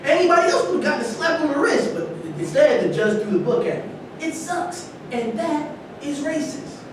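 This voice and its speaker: A man's voice: animated, moderate pace. A man in a staged reading, playing an indignant, self-excusing football star who protests his treatment. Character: aggrieved, self-excusing male football star turned celebrity. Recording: echoey room, distant mic, noisy rumble.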